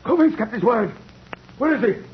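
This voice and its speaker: A man's voice: extremely high pitch, animated, moderate pace, a little hiss or hum. An actor playing a frightened, bewildered male doctor, exclaiming in 1930s radio melodrama style. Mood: stunned. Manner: alarmed, exclaiming. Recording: muffled, echoey, distant old radio recording.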